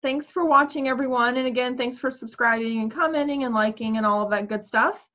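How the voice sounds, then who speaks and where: Thirties woman, co-host, rambling filler-heavy chat, bright voice, muffled webcam audio. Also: on the high side, brisk. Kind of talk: casual video-call chat. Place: home room. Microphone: webcam on video call. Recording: dull lo-fi call audio.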